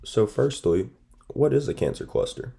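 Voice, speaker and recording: A young man with a stuffy, nasal voice from a sinus infection, reading a formal class presentation in a measured, steady way. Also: mid-range voice, gravelly, slightly rough, whispered. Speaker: student presenter.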